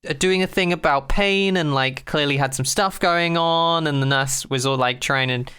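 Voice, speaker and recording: Bright, clear podcast co-host with wry delivery. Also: mid-range voice, moderate pace. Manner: wry, teasing, opinionated. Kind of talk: casual chat.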